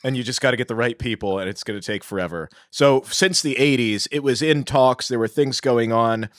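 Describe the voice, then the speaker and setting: A man co-hosting a podcast, talking through film history in a measured, explanatory way. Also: mid-range voice, dry recording, studio quality. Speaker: podcast co-host. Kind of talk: informed film-history discussion.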